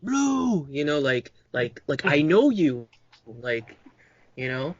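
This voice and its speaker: A man from Uruguay chatting on a podcast, earnest and halting with many fillers. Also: fairly high pitch, big pitch swings, no echo. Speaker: podcast panelist. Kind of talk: casual chat. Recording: dull, dry lo-fi mic.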